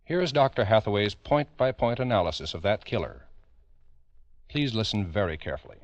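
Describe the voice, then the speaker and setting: A low male voice with an American accent narrates in 1950s radio style. He is grave and emphatic, with a lively rise and fall. The sound is a dull, old radio recording. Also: fairly quick, no room sound. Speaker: narrator and host of a true-crime radio drama.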